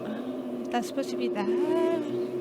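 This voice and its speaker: A woman's voice: high voice, measured pace, very noisy. A woman doing a game playthrough, commenting casually and reading text aloud. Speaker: gamer narrating a playthrough. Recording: loud game music behind voice.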